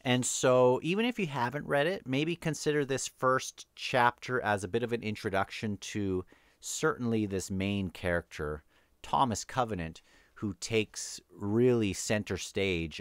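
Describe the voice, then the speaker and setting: A man's voice: on the low side, lively intonation, measured pace, a bit bright, clear, resonant tone, dry recording, clean studio sound. A man hosting an unscripted read-along book discussion, thinking aloud in a hesitant, rambling way.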